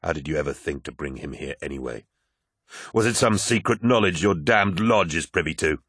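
A man's voice: rumbling low, expressive, brisk, a bit bright, slightly rough, no echo, studio quality, heavily acted. A man voicing a stern, indignant warrior in an audiobook, distrustful and challenging in manner. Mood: grim demanding. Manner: challenging, scornful questioning.

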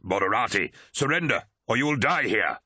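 A man voicing a contemptuous, fanatical military commander in a science fiction audiobook, ranting forcefully and scornfully. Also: medium pitch, expressive, normal speed, husky, no echo, studio quality, full character voice. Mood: commanding. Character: contemptuous male war-machine commander, fanatical traitor.

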